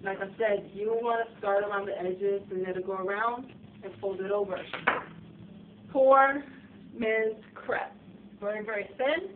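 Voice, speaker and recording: A slightly hoarse woman chatting loosely through a cooking demonstration in her home kitchen. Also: mid-range voice. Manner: chatty, loose, with fillers and restarts. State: slightly hoarse, getting sick. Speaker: cooking show host. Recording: distant, tinny and noisy home video.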